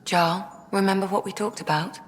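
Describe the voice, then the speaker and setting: An actress playing a composed, caring doctor in a video game, measured and matter-of-fact. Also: female voice, medium pitch, steady tone, lightly acted. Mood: grave, caring. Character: composed, caring female ship's doctor and scientist. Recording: reverberant room with faint music.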